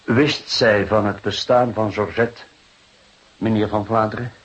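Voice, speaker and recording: An actor playing a shrewd police detective in a Dutch radio drama, speaking deliberately and with dry irony. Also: male voice, lowish voice, flat delivery, lightly acted, rough recording. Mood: probing. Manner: deliberate, probing, dryly ironic.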